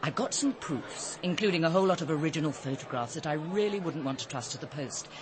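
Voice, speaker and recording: An actress playing a brisk, teasing, insistent woman writer, in a radio drama. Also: sits low, lively intonation, background noise. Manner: gushing, insistent, arch. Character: brisk, bossy, teasing female cookery writer. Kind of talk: British radio drama dialogue. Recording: busy party crowd behind. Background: party chatter.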